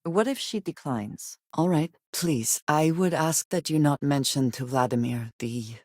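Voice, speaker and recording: An actress playing a guarded, earnest and devout woman spacefarer in a video game, speaking in measured, formal phrases. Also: deep voice, expressive, darkish tone, no room sound, studio quality. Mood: composed, formal. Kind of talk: video game character dialogue.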